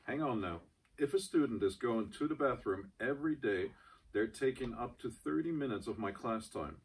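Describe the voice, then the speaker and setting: A man reading the part of a gruff, irritable, callous teacher in an amateur script reading, very raspy and away from the mic. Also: medium pitch, expressive, moderate pace. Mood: irritated. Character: gruff, callous, irritable male schoolteacher Mr. Guilford. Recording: distant microphone.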